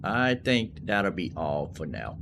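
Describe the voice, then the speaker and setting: A man's voice: low-pitched, sing-song, slow, gravelly, noisy background. A man hosting a gaming video, giving casual, informal commentary and explaining as he plays. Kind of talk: casual let's play commentary. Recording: game music underneath.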